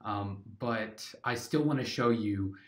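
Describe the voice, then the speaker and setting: A man's voice: lowish voice, takes it slowly. A man hosting a video, narrating and interviewing with an upbeat, eager manner.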